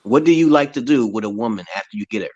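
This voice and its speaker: A man with a deep voice in casual, opinionated chat, talking in a loose, slangy way and laughing often. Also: lively intonation, fast, darkish tone, lo-fi sound. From United States. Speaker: conversation partner in an English chat.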